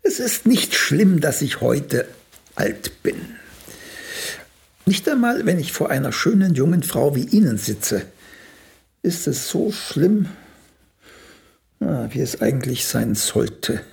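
An aging playwright in a radio drama, once celebrated and now doubting himself. His voice is gravelly and creaky, rising and falling widely. He takes his time, slyly flirting and musing, and sometimes drops to a murmur. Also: fairly high pitch, very animated, slow pace, pauses often, darkish tone, exaggerated voice. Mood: wistful, self-pitying. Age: older. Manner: sly, musing, theatrical, with murmured asides. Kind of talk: radio play acting.

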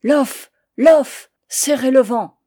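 A woman's voice: high-pitched, a little slow, pitched above the performer's own voice. A woman reading aloud, voicing a fierce older male smuggler captain who bellows commanding, defiant orders. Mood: shouting.

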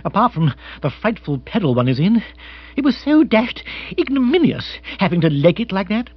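A man's voice: sits high, big pitch swings, normal speed, husky, no echo, lo-fi sound, pitched above the performer's own voice, heavily acted. A man voicing an agitated, petulant young English lord in a comic storybook, flustered and exclamatory in upper-class slang. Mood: indignant, flustered.

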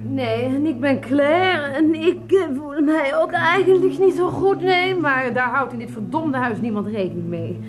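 High-voiced, aggrieved sick wife. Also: female voice, measured pace, few pauses. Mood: wounded, bitter. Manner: complaining, sarcastic. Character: aggrieved, sharp-tongued, self-pitying sick wife. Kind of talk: radio play acting.

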